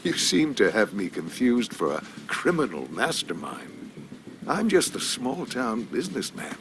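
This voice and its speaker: Elderly game villain, deep creaky voice, smug small-town businessman, dramatic swoops, silky menace. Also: huge pitch swings, noisy background, heavily acted. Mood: smug, self-righteous. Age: older. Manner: measured, silky, self-justifying, theatrical pauses. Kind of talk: video game dramatic acting.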